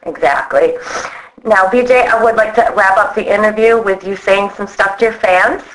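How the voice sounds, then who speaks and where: A woman's voice: medium pitch, moderate pace, fairly bright tone, a little hiss or hum, low-quality recording. A woman asking questions in a casual phone interview, in an informal, chatty way.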